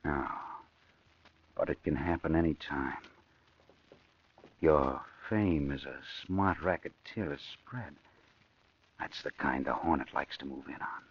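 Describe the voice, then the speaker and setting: An actor playing a hard, scheming imprisoned gangster in a 1940s radio crime drama, with a rough, husky voice and an insistent, persuasive manner. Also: male voice, a bass voice, slow pace, pauses often, full character voice. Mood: urgent, persuasive. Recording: muffled old radio broadcast recording.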